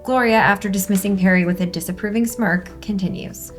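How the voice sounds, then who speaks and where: A woman's voice: mid-range voice, fairly even intonation, a little fast, faint music behind. A woman reading screenplay stage directions as scripted narration at a table read.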